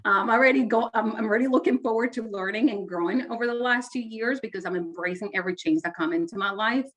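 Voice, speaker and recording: A woman's voice: a little high, fast talker, fairly bright tone, dull audio. A woman in her forties from Honduras, a Spanish native speaker, talking in an earnest, reflective way with frequent fillers and restarts as an interview guest. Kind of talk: casual interview conversation.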